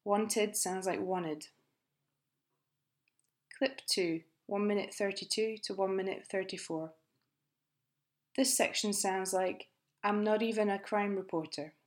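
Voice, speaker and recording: A woman with a British accent teaching English pronunciation in a clear, careful, scripted lesson. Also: medium pitch, steady tone, slow, pauses often. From Britain.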